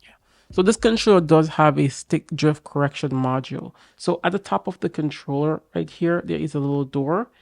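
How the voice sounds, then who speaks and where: A young man with a raspy voice from a cold, casually and eagerly reviewing a game controller to camera in a small home studio. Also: fairly high pitch, normal speed, darkish tone, no echo. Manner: enthusiastic, talks straight to camera, many fillers. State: getting over a cold. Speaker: tech reviewer. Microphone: boom mic on arm.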